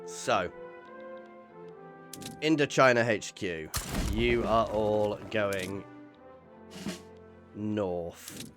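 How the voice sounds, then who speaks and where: A man's voice: lowish voice, extremely slow, long pauses. Man thinking aloud over music. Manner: thinks aloud, exclaims playfully. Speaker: gaming video host. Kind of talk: casual let's play commentary of a strategy game.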